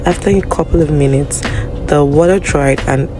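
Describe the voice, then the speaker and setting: A biology teacher, a woman with a Nigerian accent, hoarse and raspy from a flu, narrating a lab practical in a chatty, apologetic way. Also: low-pitched, moderate pace, rough recording. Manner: chatty and apologetic, with many fillers. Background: background music.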